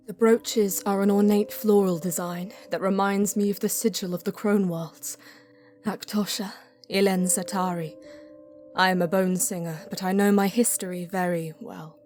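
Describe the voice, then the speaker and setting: A woman acts as a guarded elven bonesinger narrating an audio drama over music. Her low voice moves very slowly, with a reverent, hushed solemnity in each measured phrase. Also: close to an everyday voice. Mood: grave, uneasy. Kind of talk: scripted dramatic first-person narration.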